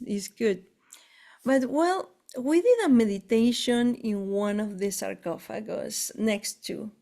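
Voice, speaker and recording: A woman from Argentina with an Argentine Spanish accent and Spanish as her first language, talking casually in halting English, often searching for words, as she shares spiritual travel experiences. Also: medium pitch, warm tone. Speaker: spiritual tour guide. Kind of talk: casual conversation.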